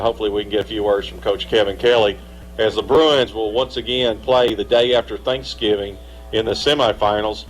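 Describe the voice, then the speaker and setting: A man announcing a high school football game on live radio, chatting through scores and interviewing the coach. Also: a little hiss or hum, low-quality recording. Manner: upbeat, conversational, asks long setup questions. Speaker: play-by-play announcer. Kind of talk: live sports radio commentary.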